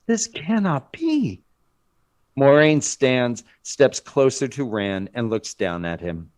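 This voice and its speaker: A man narrating a screenplay aloud in a dramatic, deliberate scripted style. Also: medium pitch, very animated.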